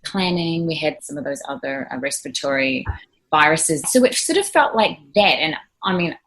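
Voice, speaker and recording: Husky New Zealand woman, low voice. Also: expressive, normal speed, a bit bright, rough recording. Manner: earnest, thoughtful, with frequent fillers and restarts. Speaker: ICU nurse guest. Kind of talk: conversational interview answers.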